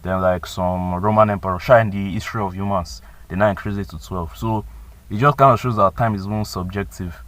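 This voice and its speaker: A man's voice: sits low, steady tone, a little fast, a bit bright. A twenty-year-old man giving casual life advice in a rambling, slangy way, full of "like" and "you know". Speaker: vlogger.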